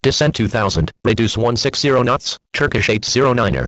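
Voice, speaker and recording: A man's voice: medium pitch, lively intonation, fairly quick, fairly bright tone, no room sound. A man speaking clipped aviation phraseology over an air traffic radio transmission. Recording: dull, band-limited air traffic radio.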